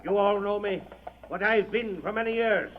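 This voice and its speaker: A noisy, muffled old radio drama recording with a crowd behind. A male actor plays an emperor rallying knights for battle, his voice pitched extremely high and declamatory. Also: fairly even intonation, normal speed, close to an everyday voice. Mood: solemn, challenging. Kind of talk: 1930s-40s radio drama acting.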